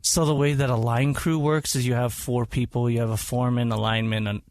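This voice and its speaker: A man born in Hawaii and raised in Utah talks casually as a guest, unpolished and candid, stopping to correct himself. His voice sits at an ordinary middle pitch. The sound is dry and close. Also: level tone, brisk, darkish tone, dry recording. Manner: plain-spoken, with frequent ums and restarts. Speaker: podcast guest. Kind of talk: casual interview talk.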